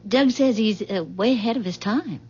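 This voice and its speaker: An actress playing a curious, friendly housewife in a 1970s radio drama, speaking in a casual, tentative way. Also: female voice, medium pitch, moderate pace, mellow, breathy edge, soft background music, natural voice. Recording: dull vintage 1970s radio recording.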